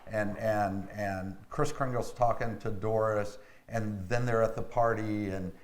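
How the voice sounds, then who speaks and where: An older American man chatting casually as an interview guest, telling things in a halting, repeating way. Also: lowish voice, slight background noise. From Memphis, United States. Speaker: actor in a community theatre, interview guest. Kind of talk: casual chat. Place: indoor room, television interview. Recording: echoey room.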